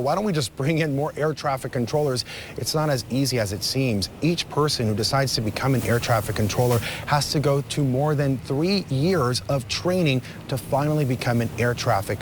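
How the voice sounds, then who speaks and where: A man's voice: mid-range voice, fairly quick. A man delivers a live television news report in a formal, emphatic way. His voice sits in a middle register. Faint outdoor vehicle noise runs behind him. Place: outdoors on a city street at evening.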